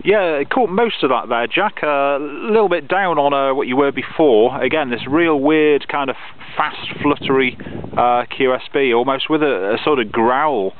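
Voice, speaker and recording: A man's voice: mid-range voice, normal speed. Male radio hobbyist, procedural contact chat, tinny band-limited audio, slight noise. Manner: procedural, spells out call signs phonetically. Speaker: amateur radio operator. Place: outdoors on open grassy hilltop. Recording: narrow phone-like sound with radio noise.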